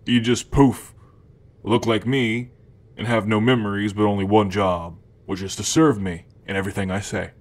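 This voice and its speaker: A man's voice: fairly low pitch, steady tone, normal speed, close to an everyday voice. A man playing a cocky, food-obsessed male demon in an ASMR roleplay, talking to the listener in a casual, hesitant slacker drawl. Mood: amused, casual.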